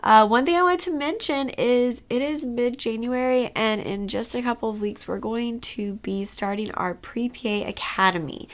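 A woman hosts a podcast while fighting a cold, her voice rough with creak. She stays upbeat and chatty, with frequent little fillers as she announces what comes next. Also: medium pitch. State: has a cold, voice strained. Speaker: podcast host.

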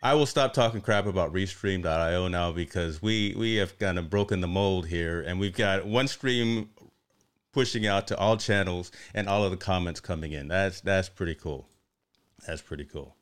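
Very deep, smooth-voiced male livestream host. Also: moderate pace. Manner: chatty, repeats phrases for emphasis. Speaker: live show host. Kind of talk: casual livestream interview hosting.

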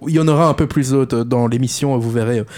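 A man's voice: medium pitch, fast talker, a little mellow. Laughing man hosting a radio show. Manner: laughing, joking, hesitant with many fillers.

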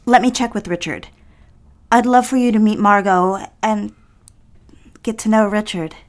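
A woman's voice: mid-range voice, a little slow, close to an everyday voice. A woman playing a lovelorn, petulant young housewife and mother in a period audio drama, pleading and wistful with old-fashioned phrasing. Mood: earnest.

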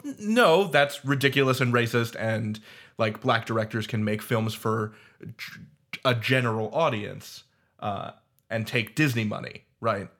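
A man on a roundtable panel, talking informally and thinking aloud in a halting way. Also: mid-range voice, a bit bright. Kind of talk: roundtable discussion.

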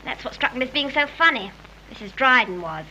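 A woman playing a flippant, chatty, sharp-tongued actress in a 1930s British film, with a breezy, gossipy manner. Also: high-pitched, lively intonation, fairly quick, heavily acted. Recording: noisy, dull 1930s film soundtrack.

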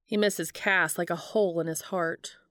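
A woman reads fiction aloud as an audiobook-style narrator. Her voice sits a little on the low side for a woman. The recording is dry, without audible room. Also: fairly even intonation, normal speed, fairly bright tone, no room sound. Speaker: fan fiction reader.

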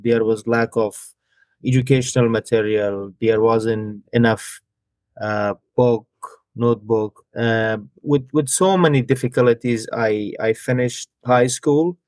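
A middle-aged man from Afghanistan, a guest in a career interview, speaking in halting English with frequent fillers and restarts. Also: medium pitch, steady tone, a little mellow, dry recording.

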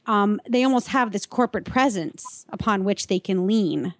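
A woman's voice: medium pitch, moderate pace, no room sound. Woman co-hosting, dry close sound. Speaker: podcast co-host. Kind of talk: conversational interviewing.

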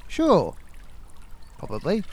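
A man playing a cheeky, bossy young schoolmate in an audio drama, teasing and quick to wriggle out of blame. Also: sits high, wildly swooping pitch, exaggerated voice. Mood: breezy, evasive.

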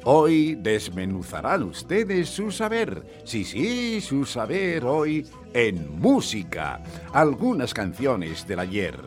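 A man's voice: medium pitch, wildly swooping pitch, slow pace. A man from Spain playing a chirpy, formal radio quiz host with a showy, ceremonious, over-polite manner. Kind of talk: old-fashioned radio contest presenting, acted. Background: music.

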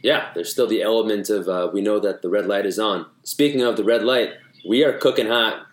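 Low-voiced joking man in an echoey room. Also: fairly quick. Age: 30s. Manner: playful, riffs and makes puns. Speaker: comedian podcast co-host. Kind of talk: casual podcast chat.

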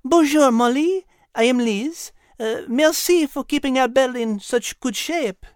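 A man's voice: very high-pitched, measured pace, clear, resonant tone, no room sound, clean studio sound, voice pushed up. A man voicing a genteel, affectionate female cat with a French accent in a storybook, gracious and amused, sprinkling in endearments. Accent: put-on French.

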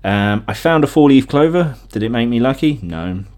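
A man's voice: mid-range voice, lively intonation, moderate pace, darkish tone. A young British man talking casually and informally on his own, rambling through memories as a podcast host with easy enthusiasm. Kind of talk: casual rambling solo reminiscing.

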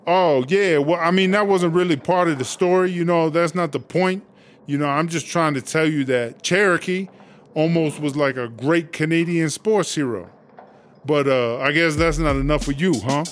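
A man's voice: a little high, moderate pace, lightly acted. A man voicing a brash, boastful female inmate boss in a comedy audio drama, telling rambling, slangy, profane stories. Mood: gossipy, emphatic.

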